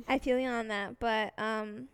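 A woman in her twenties co-hosts a casual podcast in a laid-back, rambling way, with lots of fillers. Her voice is fairly high and smooth, and she sounds relaxed as she talks. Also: a little slow. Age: 20s. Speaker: podcast co-host. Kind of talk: casual chat.

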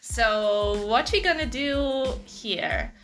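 A woman's voice: a little high, slow, fairly bright tone, faint music behind. A young woman, co-hosting a casual podcast chat in a living room, laughing often and filling her talk with fillers. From Serbia. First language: Serbian. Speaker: podcast co-host.

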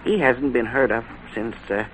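A man's voice: mid-range voice, moderate pace, a bit bright, close to an everyday voice. Guy acting as worried hesitant boss, guarded, medium voice, old radio show with some hiss. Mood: uneasy. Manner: hesitant, uneasy. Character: worried, guarded male trucking company boss. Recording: faintly noisy old-time radio recording.

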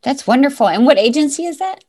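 A middle-aged woman hosting a podcast interview, eager and chatty with quick interjections. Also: high voice, animated, a little mellow. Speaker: podcast host, modeling scout.

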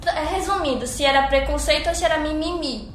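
A woman from Pará speaks with a Paraense accent as a language teacher on a panel. Her voice sits high, and she explains at length with frequent hesitations and restarts. The room around her is echoey. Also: quick pace, slight background noise. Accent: Paraense Brazilian Portuguese. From Pará, Brazil. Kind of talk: educational panel discussion.

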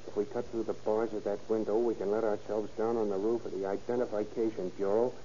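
An actor playing a slangy, sly small-time jailbird in a 1930s radio crime drama. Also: male voice, fairly low pitch, flat delivery, fast talker, warm tone, lightly acted. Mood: urgent, conspiratorial. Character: crafty jail trusty turned escapee, a smooth-talking small-time crook. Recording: muffled, noisy old radio recording.

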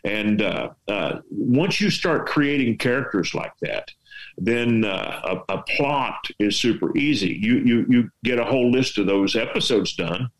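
A man's voice: fairly low pitch, expressive, measured pace, rough recording. A man from West Texas with a Texas accent, giving folksy, rambling storytelling advice full of rhetorical questions. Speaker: veteran storyteller and artist giving writing advice. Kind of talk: casual advice chat.

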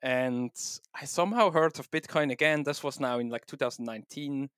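A man's voice: mid-range voice, brisk, clean tone, no echo. Swiss-accented man, dry close sound. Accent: Swiss German. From Switzerland. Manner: relaxed, rambling with many fillers. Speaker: podcast guest. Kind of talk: casual interview talk.

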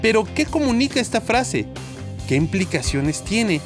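A man gives a scripted opening to a faith-based talk show. His voice sits high and moves a lot in pitch, which keeps the questions lively. Guitar music plays clearly behind him. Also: unhurried. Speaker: host.

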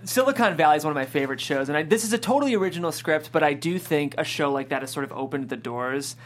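A woman's voice: low voice, lively intonation, quick pace, a little hiss or hum. A woman host reading out stage directions and chatting about a script.

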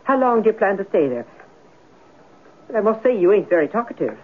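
A woman's voice: mid-range voice, very animated, brisk, slight background noise, natural voice. An actress playing a nervous, chatty working-class landlady in a radio drama, with folksy, ungrammatical speech. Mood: brisk, chatty. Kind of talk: old-time radio drama acting. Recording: narrow-band old radio recording.

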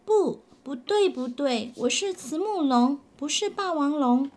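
A woman voicing a young boy dinosaur in a storybook, speaking in high, pleading protests with stammers. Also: lively intonation, takes it slowly. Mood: protesting. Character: young male dinosaur child, upset and stubborn.

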